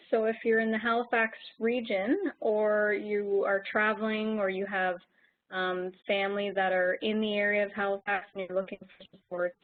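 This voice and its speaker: A woman's voice: medium pitch, no echo. A woman introducing herself as an intake coordinator, friendly and informative. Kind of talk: self-introduction at an online session. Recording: dry, phone-like narrow audio.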